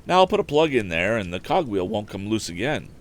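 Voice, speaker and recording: A man voicing a modest, handy young inventor in a storybook, brisk and matter-of-fact. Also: fairly low pitch, very animated, voice pushed up. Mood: modest, helpful. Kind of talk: storybook character voice.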